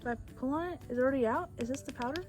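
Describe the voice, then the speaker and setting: A woman's voice: high voice, rapid-fire, a little mellow, faint music behind. Chatty woman, Bulgarian native speaker. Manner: chatty, rambling, self-deprecating. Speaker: beauty vlogger. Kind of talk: casual makeup tutorial chat. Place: outdoors on a back porch. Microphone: phone camera.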